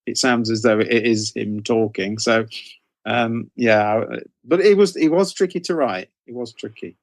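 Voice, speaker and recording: A man's voice: medium pitch, unhurried, dry recording. A middle-aged man chatting casually as an interview guest, informal and often hesitantly rephrasing himself. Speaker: guest author interviewed about writing career.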